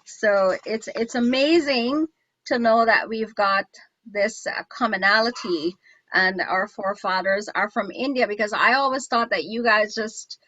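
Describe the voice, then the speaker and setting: A woman's voice: medium pitch, a little slow, bright, forceful tone, no room sound, muffled recording. A woman from Guyana hosting an interview, chatting enthusiastically with many restarts. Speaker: show host. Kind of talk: casual interview chat.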